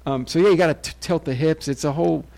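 A man's voice: a little high, fast talker, gravelly, mellow. A man hosting a talk show, chatting casually in a crude, joking, profane way. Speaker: podcast host.

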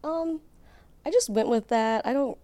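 A woman's voice: on the high side, measured pace, mellow. A woman with a Michigan accent, chatting casually as an interview guest. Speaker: interview guest, adult content creator.

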